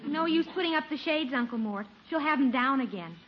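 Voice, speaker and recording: A woman's voice: high voice, animated, fairly quick. An actress playing a put-upon teenage schoolgirl in a 1940s radio comedy, dry and plaintive. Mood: dry complaint. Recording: muffled, faintly noisy 1940s radio recording.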